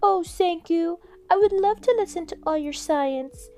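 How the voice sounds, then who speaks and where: High, gushing lovesick girl. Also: unhurried, mellow. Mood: swooning mockery. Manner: theatrical, swooning, exaggerated. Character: mock-lovesick, gushing girl in a teasing impression. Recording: music under the voice.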